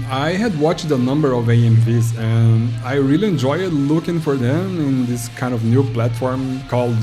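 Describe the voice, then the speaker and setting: Brazilian guy in his 30s, portuguese is his first language, mellow voice, telling a story over faint guitar music. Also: medium pitch, rough recording. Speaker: YouTuber. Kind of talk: scripted retrospective narration.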